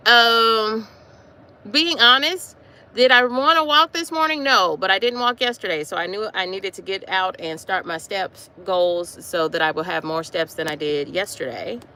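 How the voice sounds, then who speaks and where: A woman in her forties chatting casually on a livestream while out walking, repeating herself warmly and calling her viewers y'all. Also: medium pitch, moderate pace. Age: 40s. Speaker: livestream host. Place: outdoors on a walk, wind noise. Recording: faint wind noise.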